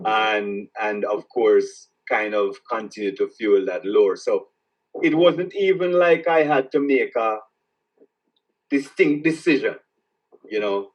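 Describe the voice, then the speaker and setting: A man from Jamaica with a Jamaican accent, an author answering interview questions in a reflective, halting way that repeats words as he starts each thought. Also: fairly low pitch, distant mic, highs cut off. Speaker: author guest.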